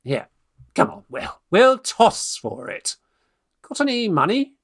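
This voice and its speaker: An actor playing a flustered young Victorian gentleman in a radio farce, British, stammering and exclamatory, eager in courtship and bossy with friends. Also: high-pitched, sing-song, slow, exaggerated voice. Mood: impatient, scheming. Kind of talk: stage farce acting for radio play.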